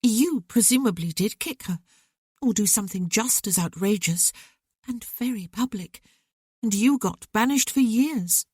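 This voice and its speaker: A woman reading as an earnest, talkative young woman with a voice lifted well above the reader's own, rising and falling eagerly. The tone stays mellow and the recording is close and dry, with no room sound. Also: mid-range voice, measured pace, slightly rough, no room sound, voice pushed up. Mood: earnest, wistful. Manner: lively, talkative, candid. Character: outspoken young woman, sensitive, earnest sister.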